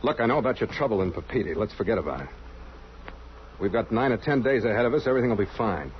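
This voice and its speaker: An actor playing a terse, commanding, brooding ship's captain who also narrates in an old radio drama. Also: male voice, lowish voice, expressive, fast, a little hiss or hum, natural voice. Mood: firm, guarded. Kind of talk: old-time radio drama acting and first-person narration. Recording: muffled old radio recording, loud music.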